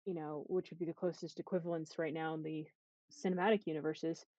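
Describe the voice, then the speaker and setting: A woman's voice: low-pitched, flat delivery, fairly quick, dark tone, no room sound, highs cut off. A woman podcast host talking casually, making her points in an analytical, emphatic way over a voice call.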